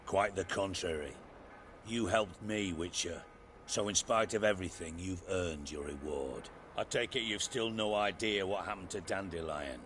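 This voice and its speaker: An actor playing a proud, menacing spymaster in a video game, with a wordy, theatrical, sarcastic manner. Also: male voice, low-pitched, normal speed, a bit bright, slight background noise. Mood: cool menace. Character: sardonic, menacing male spymaster, cultured and proud.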